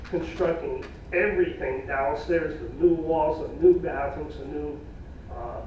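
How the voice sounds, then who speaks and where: A man's voice: on the high side, slight background noise. Man presenting in an echoey meeting room. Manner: plain, folksy, halting with fillers. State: losing his voice. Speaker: staff presenter at a public meeting. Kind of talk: presenting cost estimates. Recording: echoey room from far off, dull.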